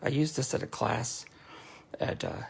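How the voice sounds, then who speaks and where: A man demonstrating card making in a casual, rambling way, with a hoarse, sometimes nasal voice. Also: measured pace. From North Carolina. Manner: chatty and rambling, frequent fillers and restarts.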